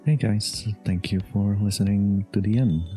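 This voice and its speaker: A man's voice: fairly low pitch, normal speed, warm tone, faint music behind. A man who creates audio roleplays, talking casually to his listeners in a sign-off.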